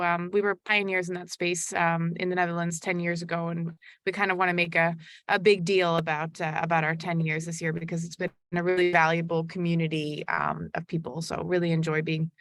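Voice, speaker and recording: Gravelly-voiced woman with an American accent. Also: on the low side, flat delivery, a little fast, a bit bright, no echo. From Belgium. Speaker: company representative guest. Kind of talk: casual webinar interview.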